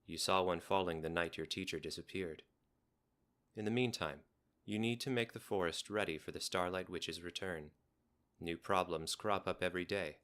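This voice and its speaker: Low, crisp-edged male storybook narrator. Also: moderate pace, a bit bright. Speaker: guest narrator of a game's storyline. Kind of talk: scripted storybook-style narration.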